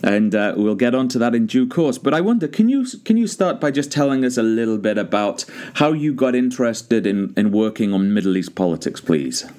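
A man hosting an interview, asking polite, unhurried questions of his guest. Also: medium pitch, very animated, darkish tone. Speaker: podcast host, interviewer. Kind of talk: conversational interview.

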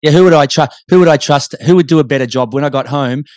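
A man's voice: fairly high pitch, fast talker, darkish tone, no echo, studio quality. A man hosting a casual sports chat, putting questions to his guest as interviewer. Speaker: interview host.

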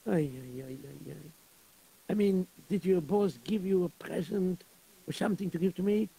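A gossipy, pious synagogue caretaker, played by a man, who sighs and fusses. His voice is raised yet dark, with a gravelly, husky grain. He keeps sighing over his neighbors' business in a radio-play manner. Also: high voice, normal speed, heavily acted, poor audio. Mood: fussy.

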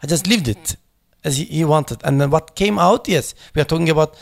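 Non-native guy talking at a panel, lots of uhs and restarts, kinda raspy fry in his voice. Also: a little high, a little fast. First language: not English; foreign accent in Turkish noted. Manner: hesitant, many fillers and restarts. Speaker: panelist at a memorial talk. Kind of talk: unscripted speech, halting and searching for words.

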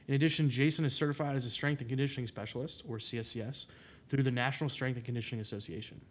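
A man in his twenties co-hosting a podcast, casual and joking, with loose phrasing and many fillers. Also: medium pitch. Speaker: podcast co-host.

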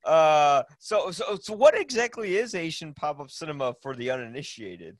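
A man's voice: fairly high pitch, lively intonation, moderate pace, dry recording. A young man hosting an interview show, talking in a hesitant casual way with many fillers. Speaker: podcast host.

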